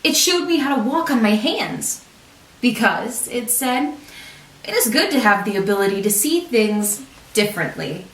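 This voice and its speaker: A woman reading a picture book aloud in an expressive, deliberate storytelling manner for children. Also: a little high. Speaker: storybook reader, teacher. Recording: roomy echo, distant microphone.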